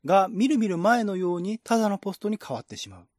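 Male narrator for a literary radio drama: fairly high voice, measured descriptive delivery, dry recording. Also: animated, a little mellow, no echo. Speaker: narrator, reader.

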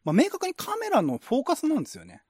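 A man's voice: extremely high pitch, huge pitch swings, fast talker, darkish tone, no room sound, studio quality. A man hosting a casual, explanatory discussion in polite speech, often checking in with his listener. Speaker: host and reader of a radio-drama reading show.

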